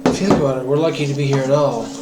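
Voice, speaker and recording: A hoarse man thinking aloud while he programs, muttering to himself and drifting into mock-grand asides. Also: medium pitch, steady tone, fast talker. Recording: clear background noise.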